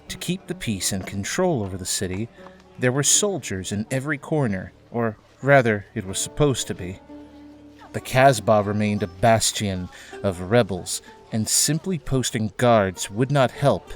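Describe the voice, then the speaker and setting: A man narrating a scripted audio drama as its storyteller. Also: fairly low pitch. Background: background music.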